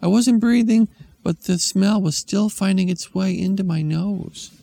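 A man voicing a bored, grossed-out young girl who whines and complains in a high voice. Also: unhurried, vocal fry, mellow, dry recording, exaggerated voice. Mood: whiny. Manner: whiny, complaining, high-pitched.

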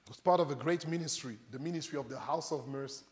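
A man's voice: medium pitch, quick pace. A man preaching in a conversational way, putting rhetorical questions straight to his congregation and telling Bible stories. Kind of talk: animated sermon with acted-out Bible stories. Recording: reverberant hall, long echo.

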